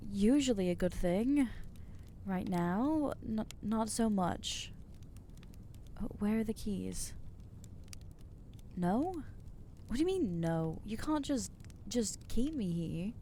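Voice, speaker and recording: A jittery young vampire woman, acted out by a woman in an ASMR audio drama, stammering through awkward, self-mocking rambles. Her voice is soft and mellow, and she takes her time with every halting phrase. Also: medium pitch, a little hiss or hum.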